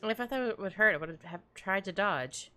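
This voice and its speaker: A woman's voice: medium pitch, expressive, moderate pace, bright, forceful tone. A woman streamer chatting casually and giggling as she plays through a game. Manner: chatty, giggly, talks to herself while playing. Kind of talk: casual playthrough commentary. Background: game music.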